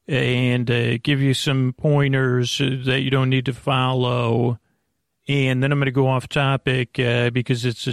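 Middle aged guy doing a rambly bedtime podcast, really creaky voice, dry recording, keeps trailing off and wandering. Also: mid-range voice, no echo. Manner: meandering, halting, self-deprecating. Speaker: host of a bedtime sleep podcast. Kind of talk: rambling, off-topic bedtime monologue.

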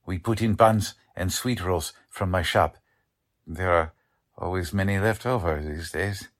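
A man playing a humble, kindly immigrant baker in a radio drama, with a halting, gentle way of repeating himself. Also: low voice. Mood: humble, earnest. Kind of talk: old-time radio drama acting.

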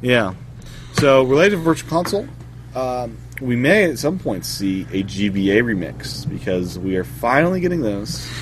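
A man's voice: mid-range voice, sing-song, normal speed, slight background noise. A man hosting a casual video game podcast who chats, laughs often and trails off mid-sentence. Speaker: podcast host. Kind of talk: casual chat about video games.

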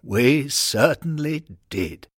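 Kindly old country doctor, slow and tender, a bit breathy, read by a man. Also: a little high, animated, a bit bright, no room sound, studio quality, voice pushed up, exaggerated voice. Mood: pleased. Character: older male doctor, kindly, frail at the end.

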